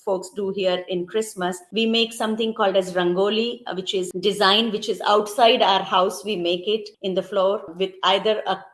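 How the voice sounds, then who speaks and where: A middle-aged woman from India with an Indian accent, telling about her family's festivals in an interview and slowing down to explain words. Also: mid-range voice, fairly even intonation. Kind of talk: conversational storytelling.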